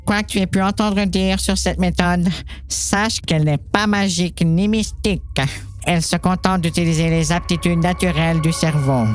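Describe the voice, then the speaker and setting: A woman playing a calm, patient doctor in an amateur audio drama, speaking in a measured, reassuring way. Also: fairly low pitch, soft background music, lightly acted. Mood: patient, encouraging. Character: calm, patient doctor coaching a troubled girl.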